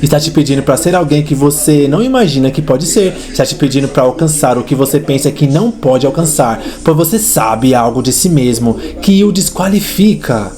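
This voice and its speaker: A man's voice: fairly high pitch, animated, a little fast, hardly any pauses, dark tone, natural voice. A man dubbing a fervent preacher in a sermon, speaking emphatically and with rhetorical repetition. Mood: earnest exhortation. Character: fervent male preacher exhorting a congregation. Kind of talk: dubbed sermon. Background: music.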